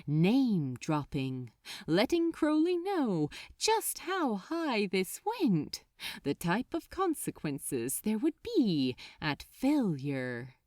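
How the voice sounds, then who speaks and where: A woman narrating scripted fiction as an audiobook reader, with an expressive delivery. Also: medium pitch, slow pace, no echo, studio quality.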